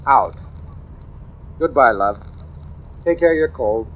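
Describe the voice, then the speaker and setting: A man's voice: mid-range voice, expressive, slow. A man playing a brusque, dismissive American cop and husband in old-time radio drama, with an offhand, wisecracking way of talking. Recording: tinny, noisy vintage radio recording.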